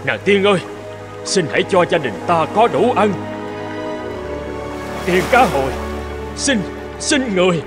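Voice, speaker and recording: Old fisherman dad in a kids cartoon, worried, very up and down pitch, music under it. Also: sing-song, measured pace, long pauses. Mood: awed, pleading. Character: burly old fisherman father, anxious and regretful. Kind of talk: animated fairy-tale dialogue.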